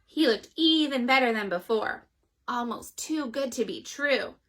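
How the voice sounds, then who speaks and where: A woman reads a children's story aloud with animated, expressive storytelling. Her voice is high and bright. It rises and falls in lively swings. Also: unhurried.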